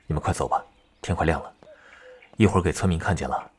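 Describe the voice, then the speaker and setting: An actor playing a gentle, caring male village teacher in an ancient-China audio drama. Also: rumbling low, fast talker, a little hiss or hum. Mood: calm, reserved.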